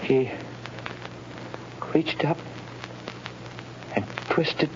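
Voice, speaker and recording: An actor playing a dazed young condemned man in a 1930s radio drama, halting and hesitant. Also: on the high side. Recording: muffled, hissy 1930s radio recording.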